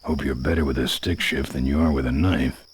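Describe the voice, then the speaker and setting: An actor playing a tough, laconic biker gang leader in a game, talking in a dry, sardonic, terse way. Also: male voice, very deep voice, fairly even intonation, fairly quick, a crowd in the background. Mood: dry, wry. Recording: loud music behind, game audio.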